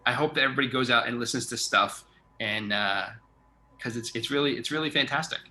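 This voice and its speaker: A man's voice: medium pitch, fast, bright, forceful tone. A man hosting a casual interview in an informal, chatty, effusive way. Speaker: interview host, theater artistic director.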